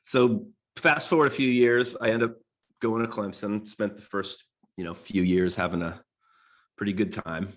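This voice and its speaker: An American man in his forties, nasal from a cold and clearing his throat, gives a loose, rambling talk to a design class. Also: lowish voice, fairly even intonation, normal speed. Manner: loose and rambling, full of restarts and fillers. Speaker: guest designer giving a talk.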